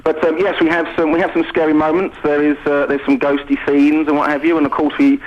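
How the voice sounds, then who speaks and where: A man's voice: on the high side, little pitch movement, fast, highs cut off, slight background noise. A man chatting enthusiastically as a guest in a radio interview. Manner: enthusiastic, gushing. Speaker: pantomime performer and guest. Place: radio studio.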